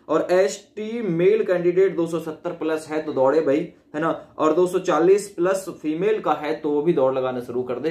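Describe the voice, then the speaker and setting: A man's voice: on the high side, a little mellow. A man explaining things informally as a video presenter, rephrasing and checking in with his listeners. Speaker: exam-update video presenter. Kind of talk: informal explanatory commentary on recruitment cut-offs. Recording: echoey, reverberant room.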